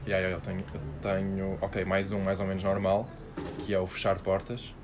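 A man's voice: low-pitched, monotone, moderate pace, noisy background. A young man with a European Portuguese accent chatting casually as a podcast co-host, rambling with lots of fillers. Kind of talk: casual chat.

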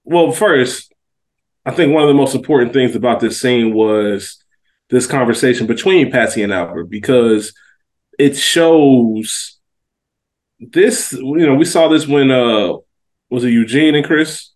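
A male podcast co-host with an American accent and an average-pitched voice. He talks casually but analytically, building his point emphatically with plenty of slang. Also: measured pace, darkish tone.

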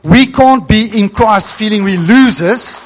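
A man's voice: high voice, a little slow. A male pastor whose first language is Afrikaans, telling a story in an earnest sermon that builds to emphatic preaching.

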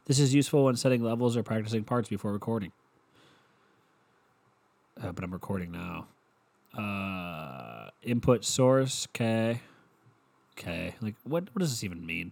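A low-pitched man with a gravelly, creaky voice mutters to himself in a loose, unsure way. He half reads on-screen text aloud and trails off into small questions. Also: expressive, measured pace, long pauses, darkish tone. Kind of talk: casual talk while figuring out recording software.

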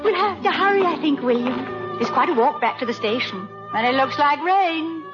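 An actress playing a warm, affectionate, gently teasing stage actress in love, in a radio drama. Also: female voice, high voice, expressive, normal speed. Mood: tender. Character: warm, playful English stage actress, the sweetheart. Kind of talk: 1950s radio drama acting. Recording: dull old radio broadcast, music behind.

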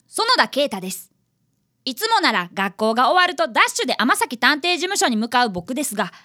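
An earnest schoolboy apprentice detective, acted by a woman, speaks in a high, bright voice. His delivery is very animated and politely sincere, in radio drama style. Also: full character voice. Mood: polite narrating. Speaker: narrator and cast member of a radio drama.

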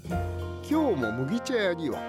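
A woman narrating a light radio comedy in a measured, presentational scripted style. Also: lowish voice, huge pitch swings, over music, exaggerated voice.

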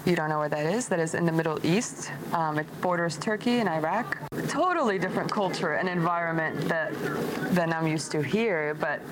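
Clear background noise surrounds a young woman from Syria with a fairly low voice. She talks openly and reflectively, as a guest in a personal chat, and is prone to giggling. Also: fairly quick. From Damascus, Syria. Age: young adult. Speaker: podcast guest, medical device engineer.

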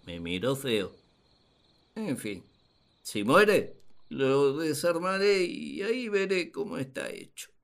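A woman plays a naive, puzzled first man in a radio play, full of his own importance. The delivery is slow and swings very widely in pitch. He ponders like an amateur naturalist, pompous and perplexed. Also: sing-song, vocal fry, full character voice. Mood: baffled. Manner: theatrical, perplexed, pompous musing. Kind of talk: radio drama diary monologue.

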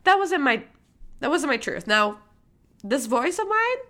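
A woman's voice: high-pitched, sing-song, a little slow, a bit bright. A young woman from Michigan hosting a podcast, talking candidly and emphatically in a casual motivational monologue, swearing freely. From Michigan, USA. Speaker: podcast host.

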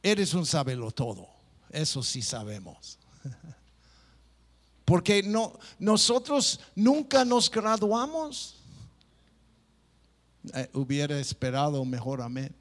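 A man's voice: fairly high pitch, animated, slow pace, long pauses. A middle-aged man preaching a sermon, playful, mimicking people, with stop-start phrasing. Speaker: pastor.

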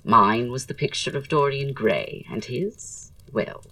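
A woman's voice: extremely low pitch, expressive, slow pace, a bit bright, recorded from a distance, exaggerated voice. A woman acting in an audio drama as a flamboyant, witty, teasing male Victorian playwright, with a theatrical drawl and arch, florid wording. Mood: reminiscing, grand.

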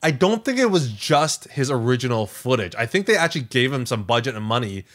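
Man chatting with very animated pitch. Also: brisk. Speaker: podcast co-host. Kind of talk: casual chat.

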